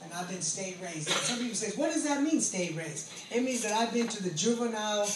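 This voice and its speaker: A man's voice: high voice, animated, a little fast. The sound is roomy and a little distant, with faint noise behind it. An American man in his late forties preaches in testimony style. He is earnest and emphatic, circling back to repeat his phrases for weight. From California, United States. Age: late 40s. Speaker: pastor and ex-convict preacher. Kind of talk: testimony sermon. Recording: roomy echo, distant mic, background noise.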